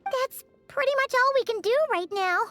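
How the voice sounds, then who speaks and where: A woman voicing a small, girlish, excitable fairy companion in a video game, with a childlike squeaky voice. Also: moderate pace, no echo. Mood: reassuring. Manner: animated, expressive. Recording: background music under voice.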